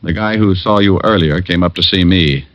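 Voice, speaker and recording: An actor playing a tough, wisecracking private detective, terse and sardonic, in an old radio drama. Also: male voice, deep voice, moderate pace, dry recording, close to an everyday voice. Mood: firm, pressing. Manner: terse, hard-boiled, sardonic. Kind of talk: 1940s-50s radio drama acting. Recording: dull vintage radio recording.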